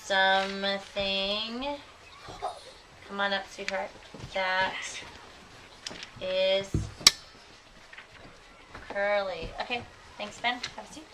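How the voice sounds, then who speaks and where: A woman teaching elementary pupils in a classroom, asking questions and repeating answers deliberately with encouraging endearments. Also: medium pitch, steady tone. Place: classroom with children. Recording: distant mic, clearly audible room noise.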